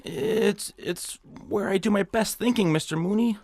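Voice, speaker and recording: Whiny, high-pitched, creaky nebbish. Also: male voice, unhurried, darkish tone, no room sound, clean studio sound, exaggerated voice. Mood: nervous, meek. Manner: hesitant, whining, meek. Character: whiny, nebbish, hypochondriac male office worker. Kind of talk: comic audio drama acting.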